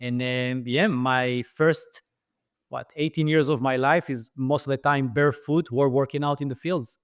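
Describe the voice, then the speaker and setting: A man's voice: mid-range voice, steady tone, unhurried, clean tone, no echo, clean studio sound. A middle-aged man with an Israeli accent, a podcast guest telling his story with many fillers and restarts. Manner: thoughtful, frequent fillers and restarts. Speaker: guest, franchise founder. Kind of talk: podcast interview.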